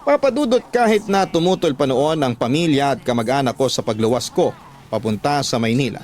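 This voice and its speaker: A man's voice: mid-range voice, animated, fairly quick, darkish tone, faint music behind. A man narrating a scripted first-person story as a radio drama narrator.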